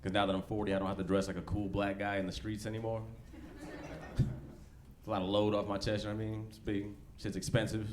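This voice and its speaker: Laid-back, low-voiced American man doing stand-up. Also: little pitch movement, fast, a little mellow. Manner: laid-back, slangy, profane delivery. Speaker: stand-up comedian. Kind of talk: live stand-up comedy, conversational. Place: comedy club stage. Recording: live club recording with background noise.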